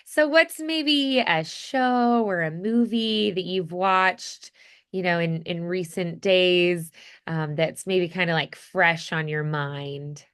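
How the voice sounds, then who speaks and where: A woman giving a casual one-on-one voice acting lesson. Her voice is smooth and a little low, and she goes at a very unhurried pace, thinking aloud with plenty of "like" as she feels her way along. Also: a bit bright. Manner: hesitant, thinking aloud, peppered with "like". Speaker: voice acting teacher.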